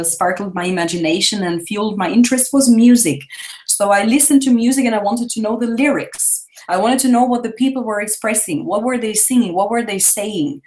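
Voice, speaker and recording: A woman's voice: medium pitch, darkish tone. Enthusiastic woman on a call. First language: German. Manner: enthusiastic, talkative, laughs often. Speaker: travel blogger guest. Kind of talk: casual interview.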